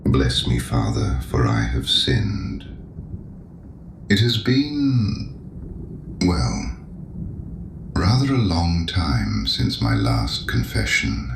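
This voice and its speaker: An actor playing a mysterious, coolly taunting male penitent in an audio drama, with a gravelly voice and measured, mock-courteous insinuation. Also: a bass voice, huge pitch swings, exaggerated voice. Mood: measured, probing. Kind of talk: full-cast audio drama acting. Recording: roomy echo, clear background noise.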